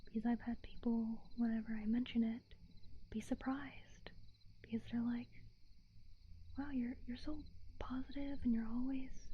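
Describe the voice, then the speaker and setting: A young woman whispers close to the mic, her voice a little high and breathy, her talk hesitant and candid with many small fillers. The audio is slightly dull, with a faint hiss underneath. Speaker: VTuber streamer. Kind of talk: whispered ASMR-style stream chat.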